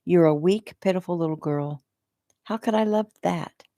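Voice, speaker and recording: A woman's voice: lowish voice, normal speed, a little mellow, dry recording, studio quality, natural voice. A woman reading the part of a cruel, possessive middle-aged mother with a contemptuous, cutting manner. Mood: scornful.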